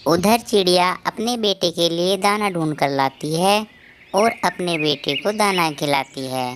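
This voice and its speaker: A woman's voice: on the low side, measured pace, a little hiss or hum. A woman narrating a scripted children's moral story.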